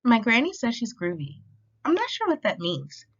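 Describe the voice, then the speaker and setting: Female storytime reader, expressive rhyming picture-book delivery, animated intonation, slightly muffled low-fidelity recording. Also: moderate pace. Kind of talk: children's picture book read-aloud. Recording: dull lo-fi sound.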